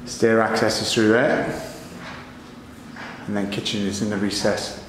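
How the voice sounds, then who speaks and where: A young man gives a brisk, upbeat sales walkthrough, talking in short clipped phrases. His voice bounces around bare, echoey rooms. Heavy building-site noise runs loud underneath, picked up by a camera mic. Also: on the low side, level tone. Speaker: estate agent. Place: unfinished timber-frame house and outdoor building site.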